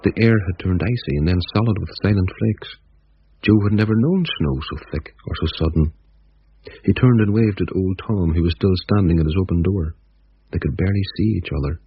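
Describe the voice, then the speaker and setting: A man narrating a radio drama in a measured storytelling manner, on dull old audio. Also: sits low, slightly rough, dry recording. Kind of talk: scripted narration.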